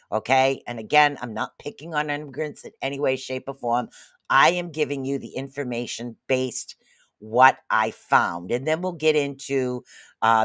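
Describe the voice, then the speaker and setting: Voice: mid-range voice, fairly bright tone, dry recording, studio quality. A host in their late fifties giving casual commentary, reading viewer comments aloud and rambling with repeated phrases. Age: 50s. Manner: rambling, repeats phrases, frequent "literally" and "okay?". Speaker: livestream host.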